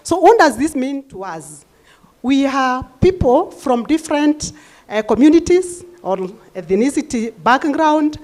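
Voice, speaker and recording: A middle-aged Kenyan woman speaks at a somewhat high pitch. She is calm and measured as she addresses a university forum, mixing in Swahili phrases. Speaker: university staff panelist.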